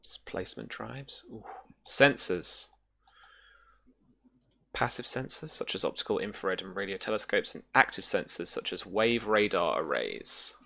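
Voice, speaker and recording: Game master for tabletop session: deep register with a gravelly edge, informal, thinks out loud. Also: lively intonation, unhurried. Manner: casual, thinks aloud while looking up rules. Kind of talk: casual tabletop roleplaying narration.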